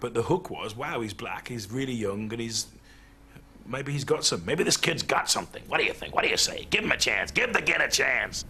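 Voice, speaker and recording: A man's voice: mid-range voice, lively intonation, fairly quick, sharp tone, a little hiss or hum. A man in an interview, recalling the past and slipping into quoted voices. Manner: storytelling, slips into voices. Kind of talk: reflective interview.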